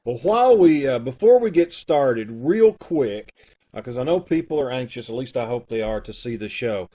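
A man's voice: medium pitch, expressive, normal speed, mellow, slightly rough. A middle-aged man hosting from home on a video call, announcing and chatting casually. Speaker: host introducing a live radio troupe show. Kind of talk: casual live announcing and chat.

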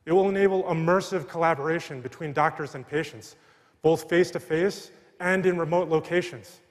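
Man giving an earnest keynote on stage. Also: on the high side. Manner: measured, earnest delivery. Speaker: surgeon and medical tech founder. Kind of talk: scripted keynote speech. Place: large arena stage. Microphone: headset mic. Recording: long hall reverb from arena.

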